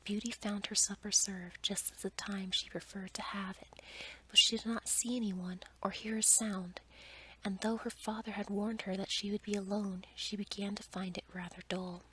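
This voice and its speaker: A woman storyteller reading a fairy tale as ASMR in a soft, breathy whisper. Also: medium pitch, flat delivery, moderate pace, slight background noise, low-quality recording.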